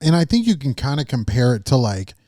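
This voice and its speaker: A man's voice: mid-range voice, very animated, fairly quick, dark tone, dry recording, clean studio sound. A man co-hosting a podcast, telling a road-trip story in a loose, rambling way. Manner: rambling, many fillers and restarts. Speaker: podcast co-host. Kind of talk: casual chat.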